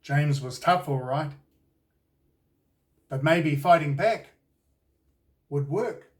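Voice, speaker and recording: A man with a New Zealand accent reading a children's storybook aloud in a deliberate, expressive storytelling way. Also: a little high, distant mic. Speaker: children's author reading his own book. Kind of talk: scripted storybook narration for children.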